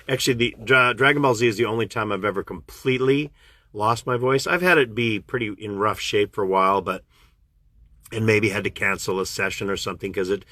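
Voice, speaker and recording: Canadian guy chatting on a phone livestream, bright lowish voice, dry close sound. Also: dry recording. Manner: chatty and animated, jumps up in pitch when excited. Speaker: voice actor hosting a fan livestream. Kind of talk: casual chat answering fan questions.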